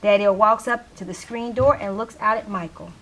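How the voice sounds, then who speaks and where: A woman's voice: mid-range voice, moderate pace, a bit bright, a little hiss or hum, low-quality recording. A woman narrating a play by reading its stage directions aloud from a script.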